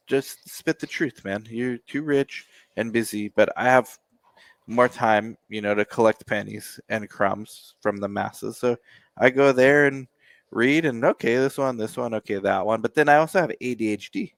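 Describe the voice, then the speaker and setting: A man's voice: medium pitch, moderate pace, no room sound. A man, co-hosting a casual music reaction show, joking and sarcastic as he rambles. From United States.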